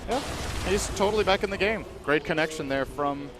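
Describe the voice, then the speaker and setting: A man giving measured, analytical color commentary on an esports match. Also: high-pitched. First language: English. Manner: measured, explanatory.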